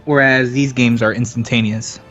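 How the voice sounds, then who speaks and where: A man's voice: mid-range voice, steady tone, normal speed, fairly bright tone, lo-fi sound. A man co-commentating casually and jokily on a game stream, with game music behind him. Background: video game music.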